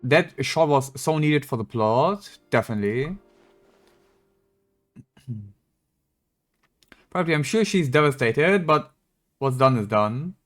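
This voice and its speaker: A man's voice: mid-range voice, lively intonation, slow, pauses often, faint music behind. A man streaming a game with casual, mocking, sweary commentary. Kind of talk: casual sarcastic live commentary.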